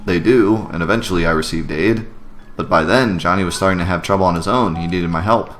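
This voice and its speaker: A man voicing a gentle, resigned widowed mother who works as a caretaker in a video game. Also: low voice, fairly quick. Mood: wistful. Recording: music behind the voice.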